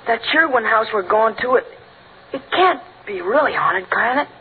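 A man's voice: high voice, lively intonation, normal speed. An actor playing an earnest, naive American boy who trusts his father, in 1940s radio comedy style. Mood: anxious. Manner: naive, pleading. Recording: scratchy, noisy, narrow-band old radio recording.

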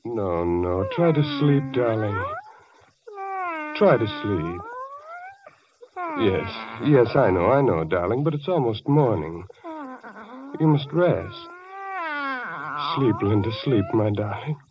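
A man's voice: on the low side, animated, takes it slowly, pauses often, crowd noise. A man acting an anguished young husband in a 1940s radio drama, pleading and breathless, repeating himself. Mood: tender soothing. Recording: dull lo-fi old radio recording.

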